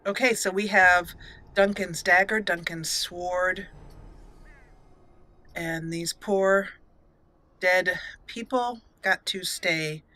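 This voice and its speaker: A woman giving casual, excitable commentary while playing a video game, talking to the characters as she goes. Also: lowish voice, slow pace, pauses often. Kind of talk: casual let's play commentary.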